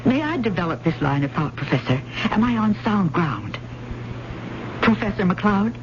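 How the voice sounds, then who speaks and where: An actress playing an earnest, hesitant adult student in a radio drama, speaking with careful, storytelling delivery. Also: female voice, medium pitch, sing-song, normal speed, close to an everyday voice. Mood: probing, deliberate. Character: earnest, hesitant, probing female adult student of old ballads. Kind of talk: 1970s radio drama acting. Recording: muffled, noisy old radio broadcast.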